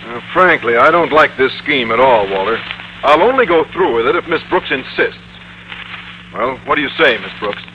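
An actor playing a shy, earnest, reluctant schoolteacher in an old-time radio comedy, mild and formally polite. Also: male voice, mid-range voice, lively intonation, normal speed, natural voice. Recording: very noisy, muffled vintage radio recording.

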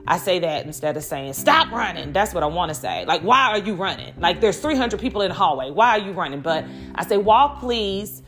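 A middle-aged woman hosting a solo podcast, talking conversationally and telling stories in a chatty, animated way with quoted asides. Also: lowish voice, brisk, few pauses, soft background music. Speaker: podcast host.

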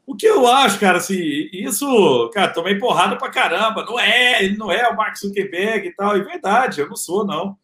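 A man in his thirties with a Rio de Janeiro accent talks loosely and casually, full of slang and fillers. His voice sits a bit high for a man, and the room around him echoes. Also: fairly quick, hardly any pauses. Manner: relaxed slangy talk with filler words. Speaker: entrepreneur guest. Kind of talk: casual interview storytelling.

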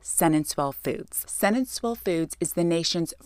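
A woman host reading a sponsor message in an upbeat, brisk way. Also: on the low side, lively intonation, no room sound.

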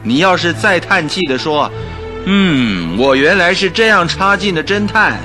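A man's voice: high-pitched, expressive, a little slow, music in the background. A man playing a detective's skeptical, nagging friend in a radio drama, grumbling and familiar. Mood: scolding, teasing.

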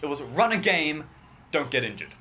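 A teenage boy with a low bass voice, chatting casually as podcast co-host, rambling and talking in bursts. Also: very animated, moderate pace, bright, forceful tone, slight background noise. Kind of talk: casual chat.

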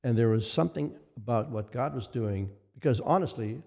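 An older man, a minister, recalling ministry history in a casual interview, speaking haltingly and repeating phrases for weight. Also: mid-range voice, gravelly, dark tone. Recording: long reverberant hall echo.

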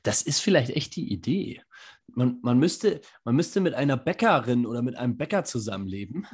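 A man co-hosting a podcast from his home kitchen, hoarse and scratchy from a cold, chatting casually with dry, teasing humor. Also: mid-range voice, sing-song, a little fast. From Northern Germany. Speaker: podcast co-host. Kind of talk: casual chat. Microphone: home mic in a kitchen.

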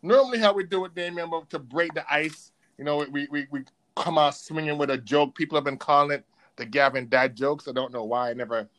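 A man hosting a podcast in casual banter, playful, animated and slangy as he interviews a guest. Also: a little high, brisk. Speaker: podcast host.

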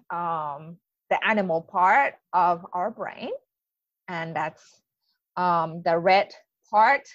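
Female life coach, 40s, Japanese accent, unhurried hesitant delivery, muffled lo-fi sound. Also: lowish voice, dry recording. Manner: hesitant, many ums, enthusiastic. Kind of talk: webinar presentation.

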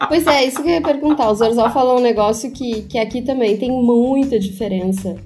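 A woman's voice: a little high, a little fast, a little mellow. A woman with a Brazilian accent, chatting casually as a podcast host. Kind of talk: casual group chat. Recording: music under the voice.

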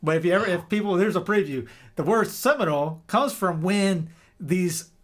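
A man's voice: high voice, animated, moderate pace. Chatty, joking American man host. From South Carolina, US. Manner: chatty, joking, rambling storyteller. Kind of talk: casual podcast interview.

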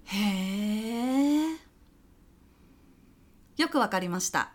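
A woman's voice: mid-range voice, animated, moderate pace, fairly bright tone. A woman playing a sly senior client executive in a comedy radio sketch, talking in a casual, chummy way that probes pointedly. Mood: cool, pointed. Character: sly, breezy senior female client executive, testing a vendor.

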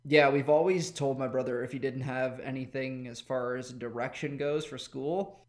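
A man's voice: medium pitch, fairly even intonation, moderate pace. A man co-hosting a podcast, chatting casually, teasing and laughing often. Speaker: podcast co-host. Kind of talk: casual chat.

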